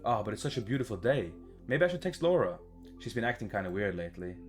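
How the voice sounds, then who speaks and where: A man's voice: fairly low pitch, sing-song, quick pace, soft background music. A man voicing an anxious student who pines over a friend, in a visual novel. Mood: fretful, excited.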